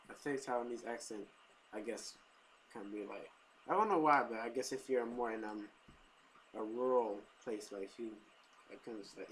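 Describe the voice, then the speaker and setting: A man's voice: medium pitch, a little hiss or hum, rough recording. Young man rambling on his webcam. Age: young adult. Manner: hesitant, many fillers and restarts, chuckles. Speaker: vlogger. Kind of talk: casual webcam vlog. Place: living room at home. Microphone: webcam built-in mic.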